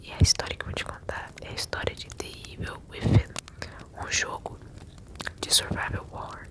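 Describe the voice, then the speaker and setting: A woman with a Brazilian accent whispers a story in breathy, soothing ASMR style, speaking directly to the listener.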